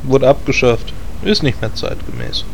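A man's voice: medium pitch, animated, normal speed, creaky, slight background noise. A man playing a know-it-all druid in a parody fantasy audio drama, matter-of-fact and sarcastic. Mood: dry, explanatory.

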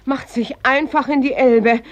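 An actress playing a gossipy, callous older working-class housewife in a mid-century radio drama, colloquial and falsely sympathetic. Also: female voice, high-pitched, steady tone, unhurried. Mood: mock pity.

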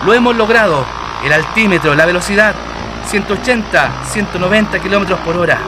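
An actor playing a brave, excitable Chilean pioneer pilot who talks to himself theatrically in a radio drama. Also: male voice, high-pitched, brisk, lightly acted. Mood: tense, triumphant. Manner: theatrical, exclamatory, talking to himself. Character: Chilean pioneer aviator, brave, excited, anxious but determined. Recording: loud engine-like noise behind the voice.

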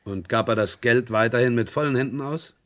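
Attentive male questioner for a vintage crime radio drama, played by an actor: fast delivery, sceptical, plain and businesslike. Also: mid-range voice, a bit bright, natural voice. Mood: sceptical, curious. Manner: brisk, matter-of-fact questioning. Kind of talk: vintage German crime radio play acting.

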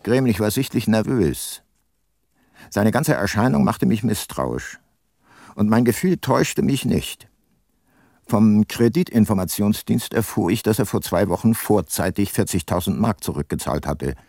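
An actor playing a brooding, probing private detective, narrating his case in a measured way in a radio crime drama. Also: lowish voice, expressive, gravelly, a little mellow, no room sound, studio quality, exaggerated voice. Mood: brooding, reflective.

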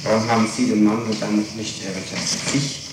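Low-voiced man questioning formally, echoey old tape. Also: steady tone. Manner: deliberate, precise, insistent. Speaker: prosecutor questioning a witness. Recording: echoey hall from far off, noisy archival tape.

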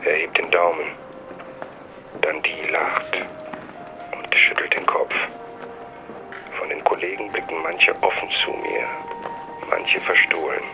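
An actor playing a brooding, watchful journalist narrating his inner thoughts in a breathy, gravelly near-whisper in a radio drama. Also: deep voice, level tone, slow, long pauses, muffled recording, full character voice. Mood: brooding, wary.

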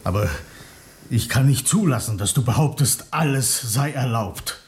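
Man playing an earnest revolutionary leader, very animated intonation. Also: medium pitch, lightly acted. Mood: firm, earnest. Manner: measured, emphatic, deliberate phrasing. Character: principled male revolutionary leader, earnest and firm. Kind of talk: radio play acting.

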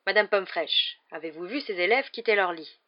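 Une femme qui prête sa voix dans un livre audio à un vieux directeur sorcier calme, bienveillant et amusé. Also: female voice, medium pitch, muffled recording, close to an everyday voice. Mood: calm. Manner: serene, measured. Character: calm, kindly, amused old wizard headmaster. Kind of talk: acted audiobook dialogue.

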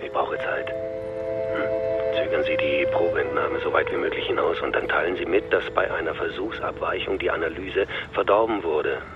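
An actor playing an unhurried, stubborn police commissioner in a radio crime drama, speaking tersely and with calm insistence. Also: male voice, extremely low pitch, highs cut off, loud music behind the voice. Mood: calm, commanding.

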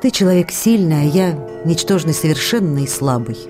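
Radio play wife: lower-voiced, anguished, intimate, emotional letter reading over clear music. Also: female voice, lively intonation, brisk. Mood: pleading, sorrowful. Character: anguished, devoted young actress wife writing to her husband.

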